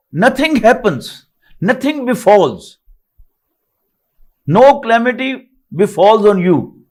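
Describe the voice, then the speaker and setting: Older Pakistani male Quran teacher, high lively voice, recites verses, then explains each phrase. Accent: Pakistani English. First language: Urdu. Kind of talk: online lecture, reciting and translating verses.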